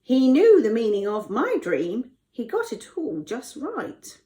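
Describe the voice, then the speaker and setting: A woman voicing an eager male royal cupbearer recounting things in a drawn-out, theatrical storybook manner. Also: fairly high pitch, huge pitch swings, dark tone, voice pushed up, exaggerated voice.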